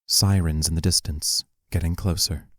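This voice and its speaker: A man giving scripted narration of stage directions for an audio play in a measured, matter-of-fact way. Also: rumbling low, fairly even intonation, a bit bright, no echo, studio quality.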